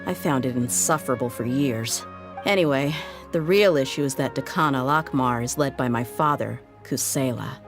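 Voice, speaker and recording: Low-voiced, worldly mercenary woman. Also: moderate pace, music in the background. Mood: grim, scornful. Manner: casual, colloquial, wry. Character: worldly, weary desert mercenary woman, loyal and blunt. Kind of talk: video game voice acting.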